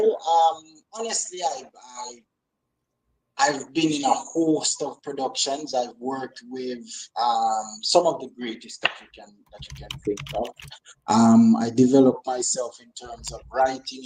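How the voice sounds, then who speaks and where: Male, Jamaican accent, mid-pitched voice, emphatic interview guest who repeats himself in easy conversation. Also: slight background noise, rough recording. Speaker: guest, actor and comedian. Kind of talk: casual interview chat.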